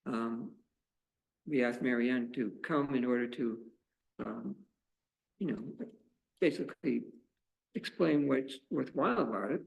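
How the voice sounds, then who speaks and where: Gravelly-voiced man chairing a meeting. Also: mid-range voice, poor audio. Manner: halting, with uhs and restarts. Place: town board meeting room.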